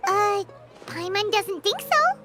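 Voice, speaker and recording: A squeaky little fairy sidekick. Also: female voice, sing-song, takes it slowly, full character voice. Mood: playful, casual. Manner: squeaky, speaks of herself in third person. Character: small cheerful childlike floating fairy companion, chatty guide. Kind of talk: video game dialogue acting. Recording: music behind the voice.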